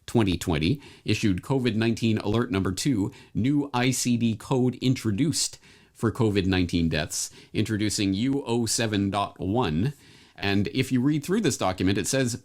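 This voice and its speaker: A man's voice: lowish voice, animated, moderate pace, a little mellow. A man reading scripted documentary narration, laying out information in a formal register.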